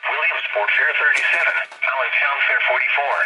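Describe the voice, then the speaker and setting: Male weather radio announcer, very bright and forceful, clipped list reading, noisy CB speaker sound. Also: medium pitch, a little fast.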